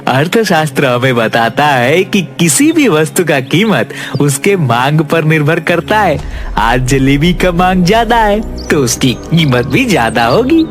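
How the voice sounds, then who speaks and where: Cartoon casting: male actor as greedy village shopkeeper dad, high male voice, swooping intonation, brusque and stubborn. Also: very animated, measured pace, soft background music. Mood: smug, stubborn. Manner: brusque, argumentative. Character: greedy stubborn male village sweet-seller, gruff father. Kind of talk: Hindi cartoon moral-story voice acting.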